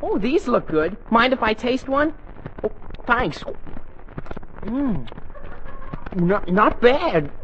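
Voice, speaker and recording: A man's voice: very high voice, big pitch swings, takes it slowly, pauses often, a bit bright, heavily acted. A man with an American accent playing an eager, dithering teenage boy, earnest and exclamatory, in a 1940s radio comedy. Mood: delighted. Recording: noisy muffled 1940s radio broadcast.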